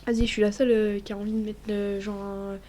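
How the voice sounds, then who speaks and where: A woman's voice: mid-range voice, flat delivery, fast, mellow. A woman with a cold, sniffling as she chats casually over her gameplay, rambling and often dropping to a whisper. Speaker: gaming vlogger.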